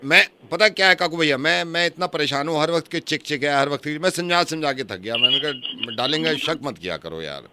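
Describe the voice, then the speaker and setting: A man's voice: on the high side, lively intonation, a little fast, few pauses, sharp tone, a little hiss or hum, voice pushed up. A man playing a harried husband on a prank phone call, apologetic and repeating himself as he pleads. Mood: pleading, exasperated.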